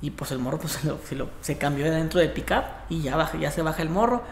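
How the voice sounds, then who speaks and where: A man in his thirties with a Mexican accent, telling a story in a casual vlog, profane and animated, acting out what others said. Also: a little high, quick pace, echoey room, a little hiss or hum. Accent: Mexican Spanish. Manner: animated, profane, acts out quoted voices with gestures. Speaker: barber and vlogger. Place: indoor barbershop with brick wall. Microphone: camera at desk distance.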